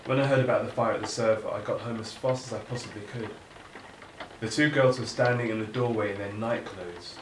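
A man's voice: fairly low pitch, steady tone, fairly quick, natural voice. An actor playing an earnest, reflective father facing climate upheaval in a naturalistic audio drama. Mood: anxious. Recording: roomy, distant, noisy room recording.

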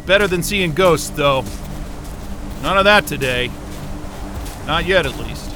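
An actor playing a weary, disgruntled scientist who mutters sardonic, profane asides in a naturalistic audio drama, with noise around him. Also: male voice, fairly high pitch, animated, unhurried, over music. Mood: dry, weary. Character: weary, disgruntled male astrophysicist talking to his dog.

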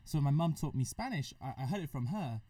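A man from Mexico hosting a casual podcast chat, talking quickly and rambling with repeated words. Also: a little high, animated, dark tone, no room sound. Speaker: podcast host. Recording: distant mic in a dry room.

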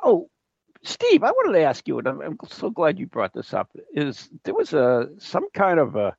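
Male American podcast host, animated and gravelly, playful casual delivery, dry lo-fi sound. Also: mid-range voice, no echo. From New England, US. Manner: playful, teasing. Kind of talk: casual, jokey chat. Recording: dull lo-fi audio.

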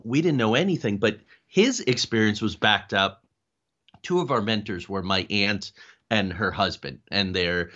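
A man's voice: lowish voice, a bit bright. A man speaking as an interview guest, telling personal stories in a conversational way. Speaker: guest, paranormal investigator. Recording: dull lo-fi call audio.

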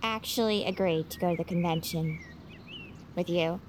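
A woman's voice: fairly low pitch, moderate pace, a little hiss or hum, close to an everyday voice. An actress playing a skeptical, downhearted young woman with dry wit and a reluctant manner, in audio fiction. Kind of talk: naturalistic audio fiction acting.

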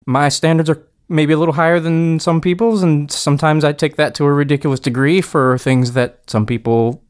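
A man's voice: a little high, fairly even intonation, a little fast, smooth. A man, an audio editor on a podcast, explaining his editing process in casual, self-deprecating chat.

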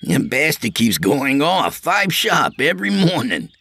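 A man putting on a jittery, eager-to-please sidekick with a raised, lighter voice. He sounds anxious to smooth things over, recorded close and dry. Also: big pitch swings, unhurried, bright, forceful tone, dry recording, heavily acted. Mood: nervous. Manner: lighter, higher, nervous.

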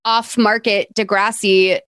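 A woman's voice: medium pitch, little pitch movement, slow, fairly bright tone, no room sound, studio quality. A woman from Massachusetts hosting a podcast, recapping a film in a chatty, rambling way with plenty of "like.". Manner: chatty, rambling, lots of "like" fillers. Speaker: podcast host. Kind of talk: casual chat recapping a film.

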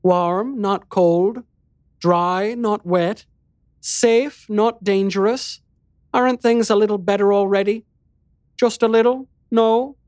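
A man's voice: sits high, sing-song, normal speed, dry recording, studio quality, pitched above the performer's own voice. A man putting on a sweet, teasing temptress. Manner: gently teasing, soothing, motherly coaxing. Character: sweet, coaxing, seductive woman of a strange house.

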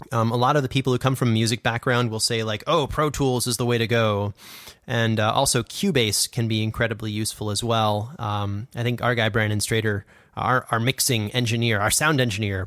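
A man's voice: mid-range voice, a bit bright, dry recording. Man podcasting in a very dry, close sound. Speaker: podcast host and audio drama producer. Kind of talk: casual instructional podcast chat. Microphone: condenser studio microphone.

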